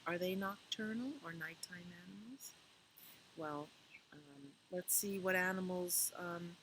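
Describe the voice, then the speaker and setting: Slow-paced woman hosting a children's storytime. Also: on the low side, a little hiss or hum, rough recording. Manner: gentle, conversational, addresses young children with questions. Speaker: library storytime host. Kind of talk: children's picture book read-aloud and chat.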